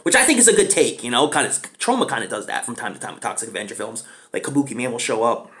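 A man in his thirties talks through a movie review in a small living room, speaking straight into his webcam. He rambles eagerly and fills gaps with ums, and his pitch swings high and low as he gets excited. It is casual and unscripted, like a home video. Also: fast. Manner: rambling, enthusiastic, lots of fillers.

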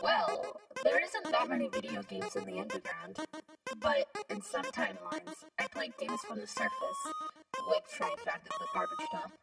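A woman's voice: medium pitch, wildly swooping pitch, moderate pace. A woman voicing a small, murderous talking flower in a cartoon dub, sarcastic, taunting and theatrical. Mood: casual menace. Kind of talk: comic dub voice acting. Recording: music behind the voice.

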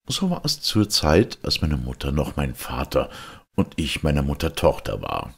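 A man reading a fairy tale aloud as a storyteller, in a theatrical, deliberate and dramatic manner. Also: a bass voice, breathy edge. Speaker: storyteller, reader.

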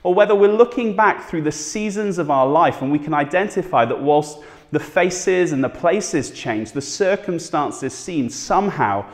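A man's voice: a little high, expressive, moderate pace, long reverb tail. A pastor in his thirties giving an earnest, conversational sermon from a small living room at home, talking with his hands. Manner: earnest, conversational, gesturing while speaking.

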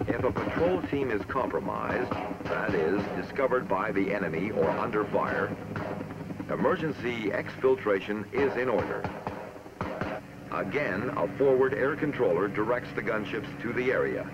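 A man with an American accent reading scripted military training narration in a formal, measured, authoritative way, on an old film soundtrack. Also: fairly low pitch, lively intonation, dull audio, heavy background noise.

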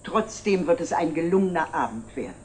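An actress playing a cheerful, gently teasing wife in an old television drama. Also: female voice, sits low, lively intonation, a little fast, slight background noise. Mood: affectionate. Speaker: actor in a television series.

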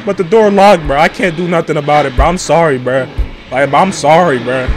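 A young man commenting casually as he plays a horror game, loud and joking, repeating phrases, on a headset mic in a small room. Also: on the high side, a little fast, soft background music. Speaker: gaming streamer. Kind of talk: casual let's-play commentary.

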